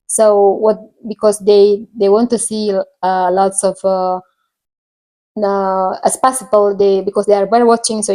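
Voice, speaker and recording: Female vlogger, Indonesian accent, mid-range voice, informal storytelling with halting, repetitive phrasing. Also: medium pitch, darkish tone. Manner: halting, searching for words, repeats phrases. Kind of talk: casual personal storytelling to viewers.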